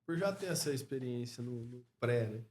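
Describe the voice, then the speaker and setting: A Brazilian man speaking as a podcast guest in a casual interview, reflective and halting, often checking in with short tag questions. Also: medium pitch, expressive, a little mellow. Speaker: podcast guest, clothing store co-founder.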